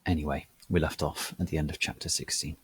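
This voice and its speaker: A man giving a formal, measured, solemn introduction as the host of a dramatic reading. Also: very deep voice, fairly even intonation, breathy edge, dry recording. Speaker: narrator, host of a serial reading.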